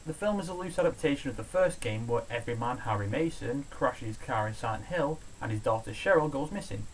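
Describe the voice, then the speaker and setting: Man, medium pitch, sardonic scripted review narration, opinionated host, faint hiss on an amateur recording. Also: a little fast, rough recording. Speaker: video reviewer, host of a countdown show. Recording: steady background hiss, amateur home recording.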